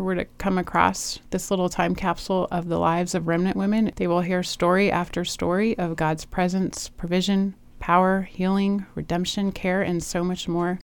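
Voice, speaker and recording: A woman hosting a faith podcast interview, warmly affirming her guest and reading prepared words. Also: mid-range voice, fairly even intonation, normal speed, vocal fry, few pauses, smooth, no room sound. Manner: warm, affirming, reads prepared tribute. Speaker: podcast host.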